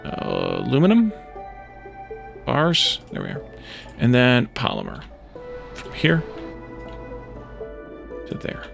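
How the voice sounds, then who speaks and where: A man's voice: medium pitch, wildly swooping pitch, slow, gravelly, long pauses, a bit bright, faint music behind. A man hosting a let's play, muttering his way through game decisions aloud in short, hesitant phrases. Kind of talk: gaming commentary, thinking aloud while playing.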